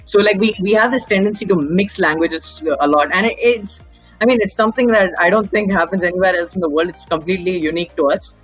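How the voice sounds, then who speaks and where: Fast-talking Indian man, high husky voice. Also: fairly bright tone, soft background music, low-quality recording. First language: Tamil. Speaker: guest film enthusiast. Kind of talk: casual explanatory chat.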